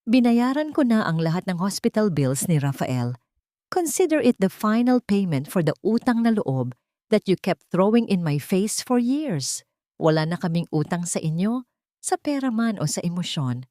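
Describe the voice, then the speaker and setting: A woman voicing a composed young woman who speaks calmly and firmly, with no trace of anger. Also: mid-range voice, dry recording, clean studio sound, close to an everyday voice. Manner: calm, firm, measured.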